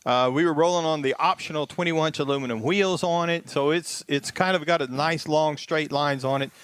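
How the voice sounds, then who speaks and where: A man's voice: fairly high pitch, fairly quick, a bit bright, smooth, no room sound. A man casually reviewing a car on a radio show, listing features with fillers.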